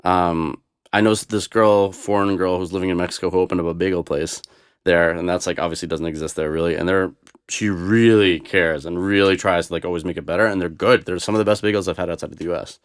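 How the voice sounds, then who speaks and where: A man's voice: sits low, fairly even intonation, fast talker, smooth, no echo, studio quality. A young man whose first language is Russian, chatting casually as a podcast guest, rambling with lots of fillers and restarts. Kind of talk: casual conversation.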